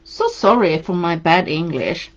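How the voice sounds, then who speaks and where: A Swedish-accented woman talks a live audience through a craft project at her table, chatty and encouraging. Her delivery is slow and her voice sits a little low. The stream audio sounds dull and lo-fi. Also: very animated. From Sweden. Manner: chatty, encouraging, reads out viewer comments while painting. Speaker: craft demonstrator.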